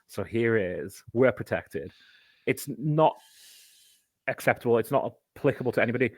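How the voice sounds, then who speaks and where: A man's voice: mid-range voice, animated, moderate pace, darkish tone, dry recording. A man, a podcast guest, explaining a topic in casual chat, talking at length and giving examples.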